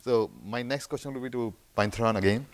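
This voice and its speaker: A man's voice: medium pitch, quick pace. A man moderating a conference panel, putting short questions to the speakers. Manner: brief prompts and listening sounds.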